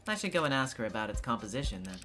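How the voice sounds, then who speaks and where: A man's voice: mid-range voice, sing-song, rapid-fire, sharp tone. A man voicing a cool, sardonic investigator in video game dialogue, questioning in a dry, teasing and pointed way. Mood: measured, deductive. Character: cool, sardonic, probing investigator interrogating a suspect.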